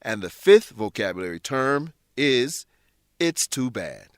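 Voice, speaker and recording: A man presents a scripted English lesson in a careful, explanatory manner. His medium-pitched voice has strongly varied intonation that marks out key phrases. The recording is very dry, with no room sound. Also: sing-song, unhurried, no room sound. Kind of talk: scripted instructional narration.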